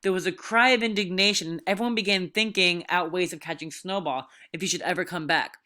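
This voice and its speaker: A woman's voice: fairly low pitch, fairly quick, bright, forceful tone. A woman teacher reading aloud and explaining an assignment to her students in an informal, direct way.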